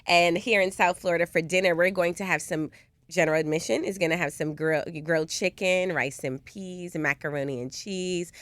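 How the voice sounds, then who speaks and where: A woman with a slightly low voice talks as a podcast guest. She is chatty and playful, putting emphasis on her points in casual conversation. The recording is very dry. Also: moderate pace, no echo. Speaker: podcast guest promoting an event.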